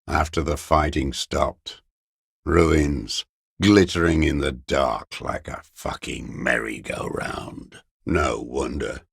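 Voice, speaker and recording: An embittered old communist deserter hiding out in ruins. His voice is extremely deep and full of gravelly rasp. He speaks slowly, muttering terse, scornful fragments as a worn-out video game character. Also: no room sound, studio quality, heavily acted. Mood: bitter, disgusted. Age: older. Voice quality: gravelly, raspy, husky. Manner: slow, muttering, contemptuous delivery with terse fragments.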